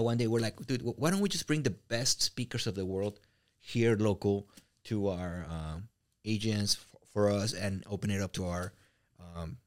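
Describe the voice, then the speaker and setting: A man with a Mexican Spanish accent and a somewhat low voice tells stories as a podcast guest. His delivery is animated, full of restarts and fillers, and he often repeats words as he builds up a point. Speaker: real estate brokerage owner, guest. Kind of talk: casual podcast interview.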